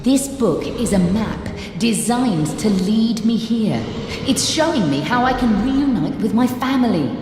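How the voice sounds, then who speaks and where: A woman's voice: a little high, expressive, a little slow. An actress playing a driven, intense female scholar in a fantasy video game, searching for her lost family. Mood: urgent, pleading. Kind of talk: dramatic video game dialogue. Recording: echoey hall reverb, music behind.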